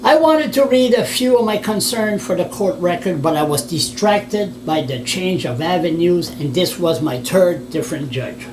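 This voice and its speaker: A man's voice: high-pitched, lively intonation, normal speed, few pauses. A Canadian man, a blogger, reading his written complaint aloud in an indignant, plain-spoken way with offhand asides and restarts, on a noisy recording.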